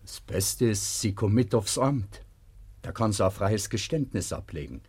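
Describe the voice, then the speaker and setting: An actor playing an officious, matter-of-fact village police sergeant in a German radio play, speaking with formal authority. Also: male voice, fairly low pitch, lively intonation, measured pace, a little mellow, slightly rough, exaggerated voice.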